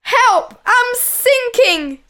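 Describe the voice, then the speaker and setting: Young boy played by a woman, very high voice, nervous and earnest, children's adventure tale. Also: sing-song, takes it slowly, bright, forceful tone, full character voice. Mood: panicked. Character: timid, hopeful young boy out fishing among pirates. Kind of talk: children's storybook character voice.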